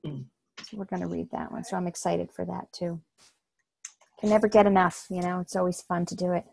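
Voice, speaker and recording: A woman's voice: lowish voice, little pitch movement, brisk, vocal fry, a little mellow, no room sound. Upbeat woman hosting a dry video call. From New Jersey shore. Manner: upbeat, welcoming, often laughs. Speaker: host leading an online book study. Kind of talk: casual group video call.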